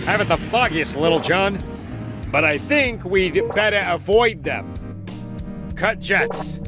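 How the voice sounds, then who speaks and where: A man's voice: high voice, expressive, slow pace. A man playing a bold, gung-ho American squadron leader in a motion comic, slangy and commanding, with an American accent. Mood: alert, commanding. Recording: loud music behind.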